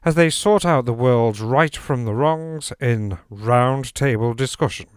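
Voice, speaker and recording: An actor playing a formal continuity announcer, reading scripted parody radio links in a measured way. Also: male voice, medium pitch, clean tone, no echo, studio quality, natural voice.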